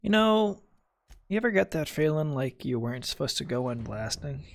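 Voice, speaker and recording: A man's voice: on the high side, very animated, moderate pace, vocal fry, slight background noise. A man giving casual, joking gameplay commentary, muttering and repeating phrases to himself. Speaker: game streamer.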